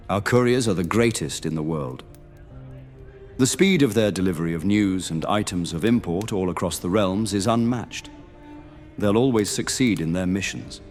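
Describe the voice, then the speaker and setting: A man playing a deep-voiced medieval guild knight. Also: darkish tone. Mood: earnest, informative. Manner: hesitant openers, formal old-fashioned speech. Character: welcoming, earnest male knight monster hunter, guild guide. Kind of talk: immersive fantasy roleplay audio drama acting. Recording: long reverb, music bed behind.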